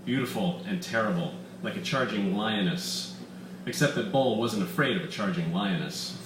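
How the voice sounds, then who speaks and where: A man reading his own story aloud with deliberate, dramatic emphasis at a live literary reading in an echoey bar room. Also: fairly low pitch. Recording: echoey room, distant mic, faint background noise.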